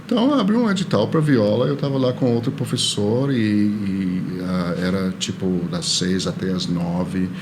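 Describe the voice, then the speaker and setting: A middle-aged man with a Canadian accent, a non-native speaker chatting casually in a halting, jovial way. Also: fairly low pitch. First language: non-native Portuguese speaker. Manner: halting, jovial, laughs easily. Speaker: podcast guest, orchestral violist. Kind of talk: casual chat. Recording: clearly audible background noise.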